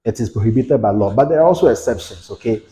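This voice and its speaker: A man's voice: fairly low pitch, animated, fast talker, dark tone. A man giving a patient, explanatory lesson on legal rights, repeating his points with everyday examples. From Ghana. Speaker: legal educator. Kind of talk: explanatory lecture to camera.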